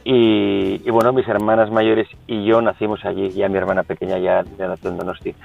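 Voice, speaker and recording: A man from San Sebastián, a musician guest in a casual radio interview, talking haltingly with many restarts. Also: lowish voice, little pitch movement, gravelly, lo-fi sound, soft background music. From Venezuela, raised in San Sebastián.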